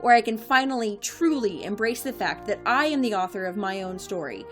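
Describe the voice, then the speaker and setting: A woman playing a quick-witted, defiant modern heroine in an audio drama, sarcastic, assertive and conversational. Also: mid-range voice, expressive, over music, natural voice.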